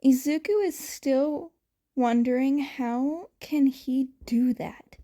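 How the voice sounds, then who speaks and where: A woman's voice: high-pitched, slow, warm tone. A woman reading a scripted story aloud as narrator. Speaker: fan fiction reader.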